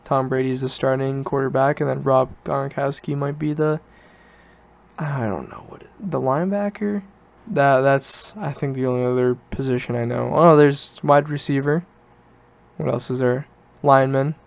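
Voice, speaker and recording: Clear-voiced young man rambling casually. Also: medium pitch, fairly even intonation, moderate pace. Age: late teens. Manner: informal, self-correcting, loosely rambling. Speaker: podcast host. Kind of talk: casual solo podcast chat, rambling storytelling.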